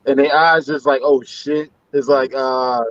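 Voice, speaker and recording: A man's voice: medium pitch, level tone, a little slow, fairly bright tone, no room sound. A man from Haiti chiming into a casual group chat, emphatic and repeating words as he cuts in. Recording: dull lo-fi call audio, very dry.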